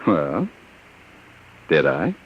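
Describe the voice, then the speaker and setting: An actor playing a smooth, menacing gangster who talks in a cold, mocking way in a 1950s radio drama. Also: male voice, a bass voice, huge pitch swings, extremely slow, a little hiss or hum, exaggerated voice. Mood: seductive. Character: smooth menacing male gangster, middle-aged. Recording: muffled old radio broadcast.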